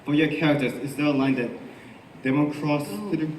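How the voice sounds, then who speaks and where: A man's voice: a little high, fairly quick. A man from the audience asking an informal question at a convention panel. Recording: distant, echoey hall, faint noise.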